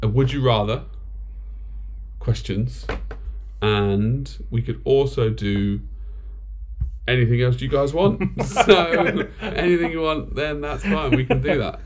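A middle-aged man hosting a podcast in casual chat, talking at a steady, even loudness. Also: mid-range voice, slow pace. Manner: steady, even loudness by his own account. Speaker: podcast host.

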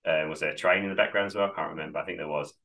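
A man's voice: deep voice, fairly even intonation, very fast, sharp tone. A man co-hosting a casual podcast chat, rambling and upbeat, getting over a cold. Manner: rambling, self-correcting, upbeat. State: recovering from a cold. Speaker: podcast co-host.

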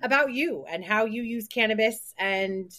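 A woman's voice: on the high side, moderate pace, pushed and bright. A woman co-hosting a podcast, asking questions in an encouraging, gushing way. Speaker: podcast co-host. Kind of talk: casual interview chat.

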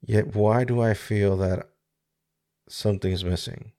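Man in his forties, from the Dominican Republic, deep voice, gravelly, halting and searching delivery, solo podcast on a studio mic. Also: steady tone, warm tone. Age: 40s. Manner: halting and searching, with many pauses and restarts. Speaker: podcast host. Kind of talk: reflective solo podcast monologue. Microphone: studio microphone with mixer.

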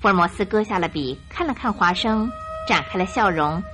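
A woman narrator telling a scripted detective story for a radio drama in an expressive storytelling style. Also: mid-range voice, fairly quick, soft background music.

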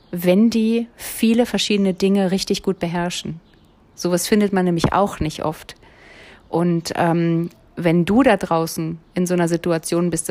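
A woman's voice: on the low side, darkish tone. A woman from Berlin with a light Berlin accent, talking casually and rambling in a personal vlog, with lots of filler sounds. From Berlin, Germany. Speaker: vlogger. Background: phone ringing.

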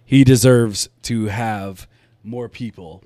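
A man's voice: mid-range voice, steady tone, slow, mellow, no echo, clean studio sound. A man hosting a casual live-stream chat, laid-back, laughing often and repeating his words. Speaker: podcast host.

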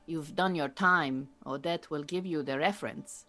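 A woman acting a calm, exacting Iranian refugee beekeeper in an audio drama, speaking in a measured, dry and formal way. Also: deep voice. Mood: dry, fond.

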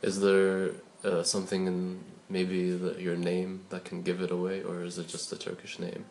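Male interviewer, deep voice, monotone, brief prompting questions. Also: moderate pace, creaky, slight background noise. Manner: brief backchannel murmurs, short prompting questions.

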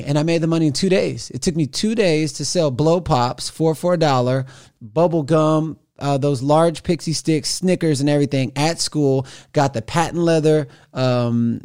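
A man of forty, a podcast guest, telling his story in an animated, conversational way with frequent restarts. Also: on the high side, normal speed, smooth. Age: 40s. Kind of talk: conversational interview.

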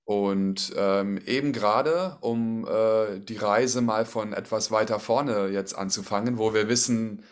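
A man's voice: on the low side, slow pace, few pauses. A man leading a casual interview, asking encouraging questions and often ending his sentences with a tag question.

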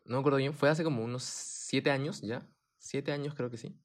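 A young man, a podcast guest chatting in a relaxed way with lots of fillers and restarts. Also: mid-range voice, a little fast. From Japan. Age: young adult. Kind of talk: casual chat.

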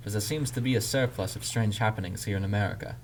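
A man voicing a fussy, excitable German investigator of strange creatures, speaking haltingly with a German accent in a story. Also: fairly low pitch, a little hiss or hum, lightly acted. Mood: earnest. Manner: halting, searching for words.

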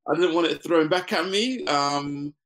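A man's voice: on the high side, unhurried. Laid-back man from Ghana. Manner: relaxed, laughs often, many fillers. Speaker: interview guest, business founder. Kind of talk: casual interview conversation.

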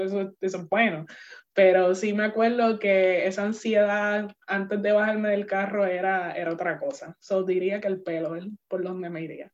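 Young woman guest, candid, muffled audio. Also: mid-range voice. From United States. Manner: candid, mixes English words into Spanish, many fillers. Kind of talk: personal storytelling in an interview.

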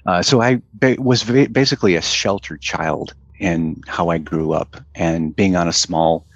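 Male guest, deep gravelly voice, dull dry remote audio, rambling. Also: measured pace, dry recording. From United States. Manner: rambling, loose, with fillers and restarts. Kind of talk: casual conversational interview.

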